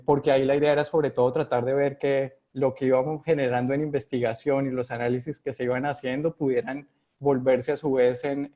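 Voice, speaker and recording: A middle-aged Colombian man speaks in a flat, even tone, his voice dark and mellow. He hesitates often and restarts phrases. The sound is dull and lo-fi. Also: medium pitch. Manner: hesitant, many fillers and restarts. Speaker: guest epidemiologist. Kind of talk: interview answers. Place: home.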